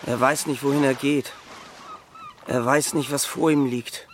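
An actor playing a brooding, fatalistic working man of the seaside in a radio drama, halting and portentous. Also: medium pitch, fairly even intonation, a little hiss or hum, close to an everyday voice. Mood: brooding, ominous.

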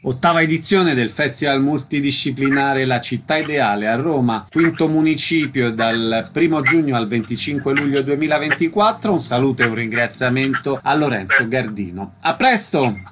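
Radio host asking short questions. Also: male voice, medium pitch, moderate pace, hardly any pauses, a bit bright, slight background noise. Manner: brief, direct questions.

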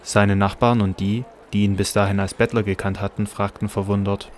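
A man reading scripted scripture narration for an audio drama in a measured, matter-of-fact way. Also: on the low side, flat delivery, slight background noise.

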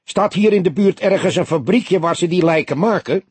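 A man's voice: high-pitched, moderate pace, husky, dry recording, pitched above the performer's own voice. A man voicing a surprised, blunt ambulance worker in an audiobook, exclaiming in a raised voice.

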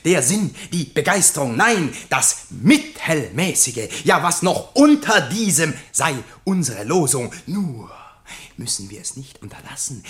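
A pompous male ringleader in a radio drama. He declaims slowly in a fairly high voice with dramatic swings of pitch, like a self-important orator. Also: fairly bright tone. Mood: sly, confiding. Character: pompous male ringleader rallying a mutual-praise society. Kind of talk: theatrical radio play oratory.

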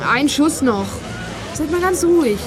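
Teenage boy detective, high-pitched, eager, confident, clever, audio drama, music and crowd behind. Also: expressive, unhurried. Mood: determined. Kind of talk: German youth audio drama acting.

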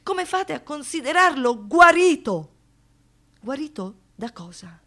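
An anguished, defiant woman in a radio play monologue. Her voice is fairly high and sharply bright. She swings between hushed, wounded murmurs and impassioned outcries, with theatrical intensity. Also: big pitch swings, slow, full character voice. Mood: desperate outrage. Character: anguished, defiant woman, survivor of a brutal assault.

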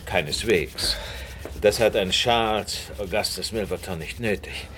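An actor playing a suave, incisive gentleman detective in a German radio crime drama, speaking in an articulate, courteously condescending way. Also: low-pitched, measured pace, a little hiss or hum. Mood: grave, dramatic.

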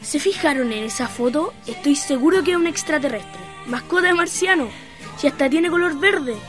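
High-pitched eager Chilean schoolkid. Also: female voice, animated, moderate pace, faint music behind. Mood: excited. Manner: colloquial, youthful. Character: eager schoolchild fascinated by aliens. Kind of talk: scripted educational radio drama.

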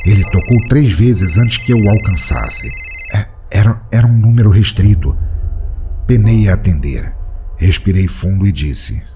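A man's voice: lowish voice, lively intonation, measured pace, music in the background. A man narrating a first-person horror story in a dramatic, tense storytelling manner. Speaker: narrator and podcast host.

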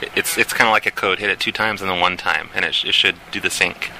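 Deadpan fast-talking prank caller man. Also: low-pitched. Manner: deadpan, mock-helpful, then indignant. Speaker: prank caller posing as hotel housekeeping. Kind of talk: prank phone call. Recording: noisy phone line.

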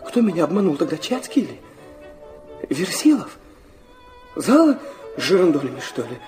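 A wounded young son in a radio play, his voice high and swinging widely. He speaks with halting fervour, repeating himself as he pours out old memories. Violin music plays strongly behind him. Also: sing-song, a little mellow. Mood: bitter, impassioned. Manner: fervent, halting, breaking into repetitions. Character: wounded, impassioned young illegitimate son recalling childhood. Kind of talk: Russian radio drama acting.

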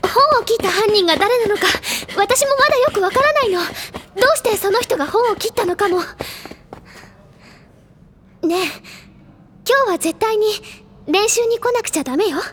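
An actress playing a spirited, caring teenage schoolgirl with a feminine, expressive, gently insistent manner in a Japanese audio drama. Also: very high voice, normal speed, long pauses, slight background noise. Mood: brisk, insistent.

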